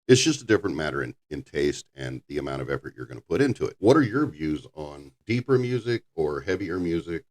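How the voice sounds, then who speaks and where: An older man with a very low voice, hosting a casual podcast chat, rambling and halting with frequent restarts. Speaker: podcast host.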